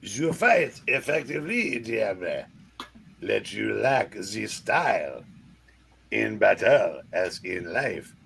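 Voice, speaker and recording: A man's voice: lowish voice, sing-song, slow, gravelly, a bit bright, husky, heavily acted. A man voicing a pompous, vengeful French villain with a French accent, grandiose and theatrical, reading a comic book aloud. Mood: pompous, lecturing. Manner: grandiose, theatrical, stilted phrasing. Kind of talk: hammy comic-book read-aloud performance.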